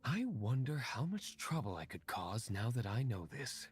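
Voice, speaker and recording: An actor playing a courteous, aloof young winery owner in a video game, speaking in a formal, measured, dry way. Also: male voice, medium pitch, big pitch swings, lightly acted. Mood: dry, explanatory.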